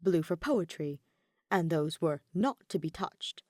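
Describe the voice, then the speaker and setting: Expressive woman narrating a story. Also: lowish voice, unhurried, dry recording, studio quality. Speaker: audiobook narrator. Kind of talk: scripted narration of fan fiction.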